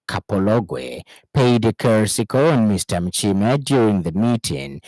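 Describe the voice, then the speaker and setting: A man with a Zambian accent reading a scripted radio news bulletin in a formal, measured manner. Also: lowish voice, darkish tone, dry recording, poor audio. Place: radio studio in Lusaka.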